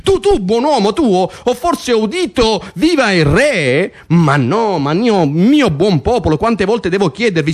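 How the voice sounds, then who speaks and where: A man's voice: high-pitched, exaggerated voice. An actor playing a pompous, falsely humble tyrant king in radio theatre, theatrical and unctuous, prodding his people with rhetorical questions. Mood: mock modesty. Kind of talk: stage-like radio theatre monologue.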